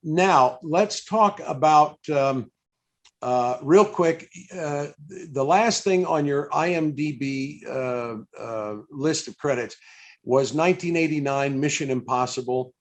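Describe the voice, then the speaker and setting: A man with a fairly high voice hosts a conversational interview on a video call. He puts his questions a bit hesitantly, stammering into some of them. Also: slow pace. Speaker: interviewer, stage impressionist.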